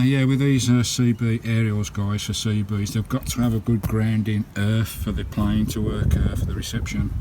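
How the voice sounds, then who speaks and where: A man's voice: fairly low pitch, very noisy. A man with an English accent talking casually and matily to viewers, with colloquial turns of phrase, as he shows hands-on work on his campervan. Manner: chatty, colloquial, matey address to viewers. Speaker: vlogger showing a campervan radio installation. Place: in and around a parked campervan. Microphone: handheld camera microphone.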